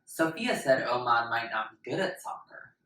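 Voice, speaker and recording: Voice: medium pitch, very animated, moderate pace, sharp tone. A narrator reading a children's story aloud in a dramatic storytelling style. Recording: roomy, far from the mic.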